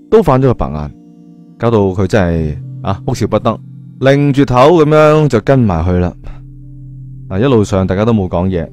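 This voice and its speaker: Male storyteller, Cantonese accent, lowish dark voice, colloquial dramatic narration, chatty asides, faint music behind.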